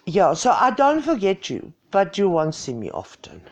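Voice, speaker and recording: A woman's voice: medium pitch, wildly swooping pitch, moderate pace. A woman in her sixties with a Greek South African accent, chatting playfully and mocking herself on a home live stream. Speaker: vlogger. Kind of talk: casual live-stream chat.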